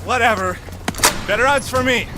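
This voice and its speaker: A man's voice: very high voice, normal speed, noisy background. An actor playing a brash, girl-chasing young college student in an audio drama, slangy, casual and eager. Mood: eager, joking. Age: young adult. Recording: music behind the voice.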